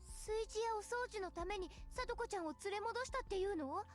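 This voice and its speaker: A woman playing a gentle, concerned young schoolgirl in a Japanese anime visual novel. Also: very high-pitched, rapid-fire, faint music behind. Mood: dismayed.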